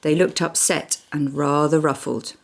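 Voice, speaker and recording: A woman reading a children's book aloud in an expressive storytelling manner, with dramatic pauses. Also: low-pitched, creaky. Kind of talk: expressive children's audiobook narration.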